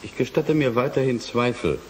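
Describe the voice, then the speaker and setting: An actor playing a sceptical, formal state prosecutor who questions witnesses in measured phrases in a radio drama. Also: male voice, medium pitch, lightly acted. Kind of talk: German science fiction radio drama acting. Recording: hissy audio drama recording.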